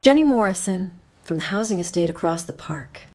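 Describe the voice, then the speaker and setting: A woman's voice: on the low side, animated, fairly quick, dark tone, lightly acted. An actress playing a grieving, genteel mother in a crime radio play, restrained and careful in her speech. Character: grieving, genteel mother, reserved and slightly snobbish.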